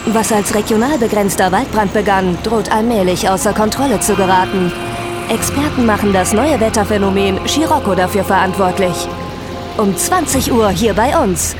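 A woman's voice: medium pitch, moderate pace, loud music behind the voice, natural voice. An actress playing a chirpy female radio news presenter in a radio drama, speaking in polished broadcast style. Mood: urgent, polished.

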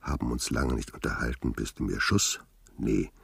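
An actor playing a lazy, disillusioned working-class brother back from war, with a gravelly, husky voice, in a radio play. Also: male voice, extremely low pitch, moderate pace, fairly bright tone, full character voice. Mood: weary.

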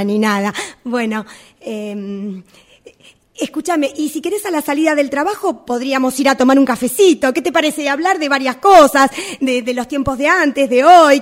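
Radio play role: awkward, eager Rioplatense Argentine woman, acted by a woman, high pitch, coaxing and hesitant. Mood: eager, then let down. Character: eager, hopeful woman awkwardly inviting someone out. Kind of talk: radio theatre workshop monologue.

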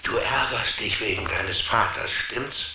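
A kindly, scheming creature from inside a television, speaking bright, colloquial lines. He confides like a conspirator in a roomy radio drama recording with faint hiss. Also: fairly low pitch, measured pace. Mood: probing, sympathetic. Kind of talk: German radio drama acting.

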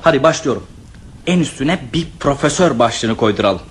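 A man's voice: medium pitch, animated, normal speed, husky. An actor in a radio play voicing a bossy, know-it-all teenage eldest brother who orders his siblings around. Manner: bossy and commanding.

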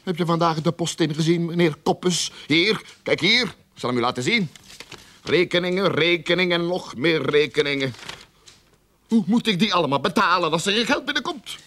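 Casting: shifty, exasperated agency boss for radio drama; higher-pitched, swooping delivery, full of bluster and sarcasm. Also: male voice, animated, moderate pace, fairly bright tone. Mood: sarcastic, complaining. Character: blustering, money-grubbing, lying detective agency boss. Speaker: actor in a radio play.

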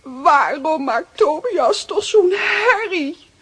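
An actress playing a vain, easily upset middle-aged aunt in a radio play, dramatic and fretful. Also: female voice, very high-pitched, expressive, takes it slowly, a bit bright, heavily acted.

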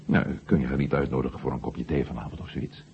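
A man acting in a 1970 radio drama as a discreet, probing family friend, speaking hesitantly with pauses, on an old recording. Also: rumbling low, steady tone, creaky, dull audio, slight background noise. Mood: hesitant, confiding.

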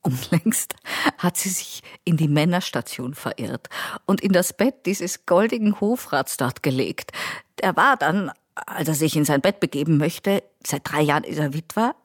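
A woman's voice: deep voice, expressive, unhurried, no room sound, clean studio sound. An actress playing a chatty Austrian care-home nurse who gossips dotingly about her elderly residents in a radio play monologue. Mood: doting, gossipy.

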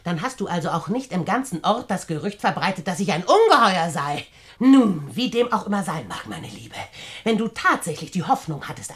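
An actress playing a domineering, wealthy old aunt in a German radio play, sarcastic and condescending. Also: female voice, on the low side.

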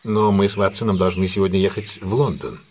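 An actor playing a shrewd, self-assured detective in an audio drama, with a husky voice and a brisk, imperious, theatrical manner. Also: male voice, low voice, darkish tone. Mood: assured, courteous. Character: commanding, shrewd, self-assured male detective plotting a trap.

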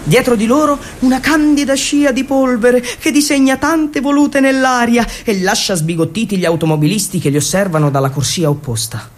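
High-voiced man telling a dramatic story. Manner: dramatic, irreverent delivery with emphatic pauses. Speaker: stage storyteller and actor. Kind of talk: theatrical narrative monologue for radio.

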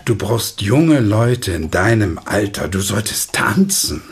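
An actor in a German radio drama playing a stout, blunt old father who urges deliberately, with pointed pauses. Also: lowish voice, very animated, heavily acted. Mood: coaxing, urgent. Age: older.

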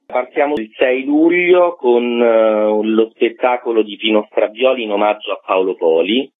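A man speaking as a festival director in an informative radio interview. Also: fairly low pitch. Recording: tinny narrow phone line on radio.

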